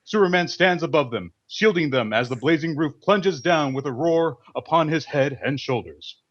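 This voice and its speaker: Higher-pitched male radio-drama narrator, lo-fi. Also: lively intonation, moderate pace. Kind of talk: scripted old-time radio narration. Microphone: internet voice call. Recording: dull lo-fi internet call audio.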